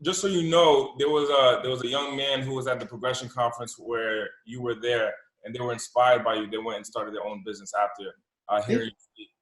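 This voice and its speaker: A man hosting an interview, enthusiastic and repeating his points with halting restarts. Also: medium pitch, steady tone, fairly bright tone. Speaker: podcast host. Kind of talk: interview conversation. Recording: roomy echo.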